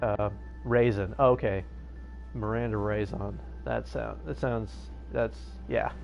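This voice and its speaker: A man's voice: lowish voice, fairly even intonation, slow pace, creaky, lo-fi sound, soft background music. A man streaming a game, chatting casually and hesitantly into his own microphone, with lots of fillers and restarts. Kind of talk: casual live-stream chat. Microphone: own plugged-in streaming microphone.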